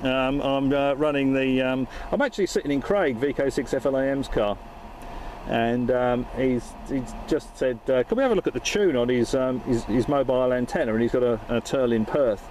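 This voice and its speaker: A man's voice: mid-range voice, moderate pace, a little mellow, a little hiss or hum. A man talking casually and jokily as an amateur radio operator and video host, recorded inside a car. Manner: jokey, matey, informal. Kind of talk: casual radio chat and vlog narration.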